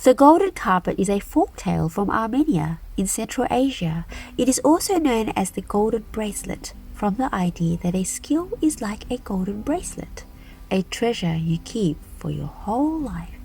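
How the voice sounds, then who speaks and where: A woman narrating a children's fairy tale aloud as a storyteller, in an expressive, deliberate manner. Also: mid-range voice, gravelly. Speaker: storyteller and channel host.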